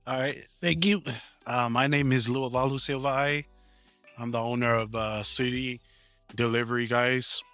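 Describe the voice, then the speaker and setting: A man from American Samoa in his thirties, a business owner answering interview questions casually, halting with many fillers. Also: mid-range voice, fairly even intonation, bright, forceful tone, no echo, soft background music.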